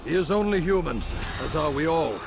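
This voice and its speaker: A man's voice: on the high side, lively intonation, a little fast, creaky, music in the background. A man playing a grave, philosophical medieval conspirator in a video game. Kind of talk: video game cutscene acting.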